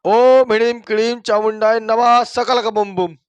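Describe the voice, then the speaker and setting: A man's voice: very high-pitched, fairly even intonation, unhurried, clear, resonant tone, no room sound, muffled recording. A man playing an old male village exorcist who chants and gives stern commands in a story. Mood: commanding. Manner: commanding, incantatory. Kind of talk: dramatized Hindi moral horror story.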